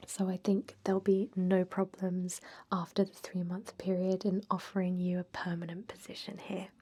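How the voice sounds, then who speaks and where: A woman playing a friendly, chatty old vampire job interviewer in a soft, breathy ASMR roleplay whisper. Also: fairly low pitch, level tone, moderate pace. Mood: warm, encouraging. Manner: gentle, chatty, encouraging.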